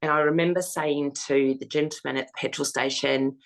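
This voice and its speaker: A woman with a husky voice, frankly recounting her own experience as an interview guest. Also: low voice, fairly even intonation, a little fast. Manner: frank, matter-of-fact storytelling. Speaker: foundation founder, interviewee. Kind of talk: personal testimony in an interview.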